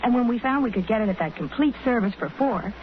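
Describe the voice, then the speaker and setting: An American actress playing a contented, gushing young married housewife in a 1940s radio advertisement. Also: female voice, a little high, animated, quick pace, close to an everyday voice. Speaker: sponsor spokeswoman. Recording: muffled, hissy vintage radio recording.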